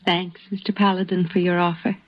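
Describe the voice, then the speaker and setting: A woman's voice: lowish voice, little pitch movement, a little fast, no room sound, close to an everyday voice. A woman playing a dignified, steadfast frontier doctor in a 1950s radio Western. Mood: resolute. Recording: muffled old radio recording with hiss.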